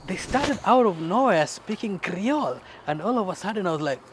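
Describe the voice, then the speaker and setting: A man's voice: high voice, sing-song, moderate pace, background noise. A man with a Kenyan accent chatting casually outdoors as he co-hosts a family garden vlog.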